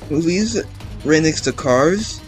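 एक किशोर लड़का, जो गेम खेलते हुए बिखरी, बातूनी कमेंट्री करता है, बार-बार "um" कहकर वाक्य दोबारा शुरू करता है, पीछे गेम का संगीत साफ़ बजता है।. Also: male voice, a little high, expressive, takes it slowly. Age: teens. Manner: rambling, chatty, many restarts and ums. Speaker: gameplay commentator. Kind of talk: casual let's-play commentary. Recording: game music playing underneath.

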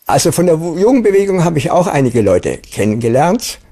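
A man recollecting spontaneously in a documentary interview, halting and repeating words as he searches for the next. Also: fairly high pitch, very animated, dark tone, husky.

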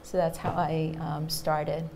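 A woman's voice: low-pitched, flat delivery, takes it slowly, creaky, a little mellow, slight background noise. A woman in her forties, a Korean native speaker, telling a reflective personal story on a studio panel. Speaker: panel guest, journalist. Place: studio stage with a small audience.

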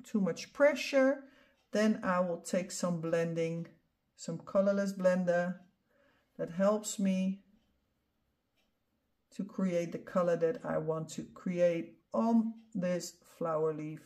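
A middle-aged Dutch woman talks through her painting in English, her second language. She speaks unhurriedly, with a mellow, soft tone, explaining each step calmly as she demonstrates. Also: fairly low pitch, slow pace. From Netherlands. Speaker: art instructor. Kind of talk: painting tutorial voice-over.

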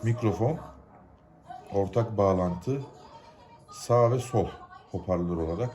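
A man's voice: fairly low pitch, slow pace, warm tone. A man from Turkey gives an informal, unscripted how-to, explaining step by step in plain, colloquial words. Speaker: hobbyist giving a DIY repair tutorial.

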